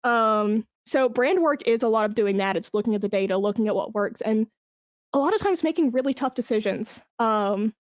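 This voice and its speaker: A woman's voice: fairly high pitch, normal speed, no echo, clean studio sound. A woman chatting casually as an interview guest, enthusiastic and emphatic about her work. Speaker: podcast guest, esports brand manager.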